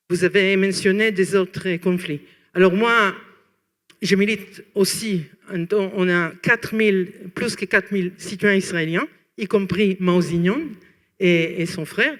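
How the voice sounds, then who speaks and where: A woman from France and Israel asking a long, earnest question from the audience at a public talk, often pausing to search for words. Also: mid-range voice, long reverb tail.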